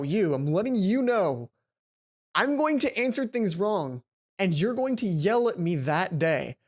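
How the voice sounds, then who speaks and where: A woman hosting a let's play, chatting casually and playfully with some self-deprecation. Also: fairly low pitch. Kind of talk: casual gaming commentary mixed with reading dialogue aloud. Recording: music playing behind the voice. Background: game music.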